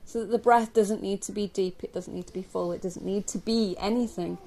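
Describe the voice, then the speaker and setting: A woman from England leading a guided yoga nidra meditation for a group, speaking gently and slowly with long pauses. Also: mid-range voice, poor audio. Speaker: yoga nidra teacher guiding a relaxation. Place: live group session at a camp. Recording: steady ambient noise, live recording.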